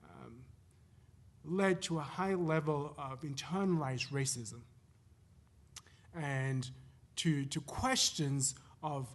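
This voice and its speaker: A man speaking as a panelist at a university event, reflective and hesitant, often restarting his phrases. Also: a little high. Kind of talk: panel discussion talk.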